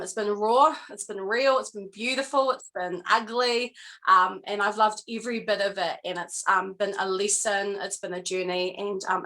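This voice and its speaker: Interview guest: woman, New Zealand accent, bright tone, earnest and halting. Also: mid-range voice. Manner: earnest, reflective, halting with many pauses. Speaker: guest artist. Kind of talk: casual interview chat.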